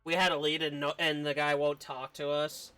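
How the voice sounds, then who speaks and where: A man's voice: on the high side, flat delivery, moderate pace, pushed and bright, clear, resonant tone, faint music behind. A man giving casual, wry, muttered reactions as he streams a video game playthrough. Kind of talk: casual live playthrough commentary.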